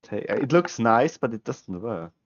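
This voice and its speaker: A man's voice: medium pitch, sing-song, no echo, muffled recording. Swiss German man musing over chess puzzles. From Switzerland. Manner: musing, halting, self-correcting, talks to himself. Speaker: chess streamer. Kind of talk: thinking aloud while solving chess puzzles, chatting with viewers. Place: small room at home, indoors. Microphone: headset.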